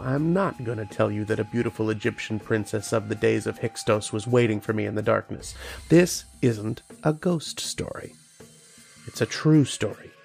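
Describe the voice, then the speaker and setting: An actor playing an earnest, haunted archaeologist telling his uncanny tale in old-time radio horror style, with dramatic, deliberate pauses. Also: mid-range voice, vocal fry, a little mellow, natural voice. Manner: dramatic first-person storytelling with deliberate pauses.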